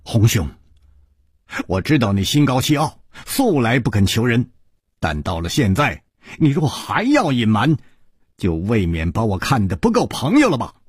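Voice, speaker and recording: A man voicing a calm, suave and loyal hero in a martial arts storybook, teasing and persuasive. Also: mid-range voice, moderate pace, husky, no echo, studio quality. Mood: earnest.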